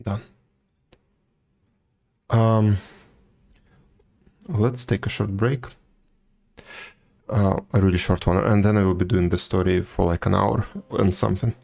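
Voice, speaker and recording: A man chatting casually as a live game streamer, musing aloud in a low-key, halting way. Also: steady tone, gravelly, darkish tone.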